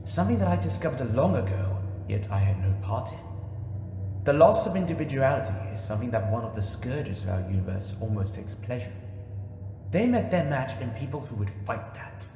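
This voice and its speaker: A solemn, mysterious wanderer of time, acted by a man narrates a sci-fi audio drama. He is portentous, with a mid-pitched voice. It rings with heavy echo and reverb, with soft music beneath. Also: very animated, moderate pace, close to an everyday voice. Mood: solemn, ominous. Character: solemn, mysterious ancient male time-travelling storyteller. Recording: echoey, long reverb, music behind.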